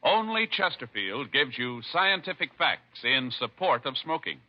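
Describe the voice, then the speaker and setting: A man's voice: mid-range voice, very animated, measured pace. A man announcing a 1950s radio show and its sponsor in a formal, emphatic scripted style. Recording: lo-fi vintage radio, loud music.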